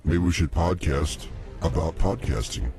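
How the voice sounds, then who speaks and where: A man's voice: very deep voice, measured pace, dark tone. A man co-hosting a show in casual, comic banter. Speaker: co-host running music and clips.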